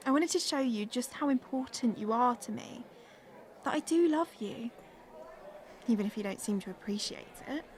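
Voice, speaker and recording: High-pitched, volatile manipulative young girlfriend. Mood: wounded, coaxing. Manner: theatrical, guilt-tripping, self-pitying. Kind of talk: naturalistic radio drama acting.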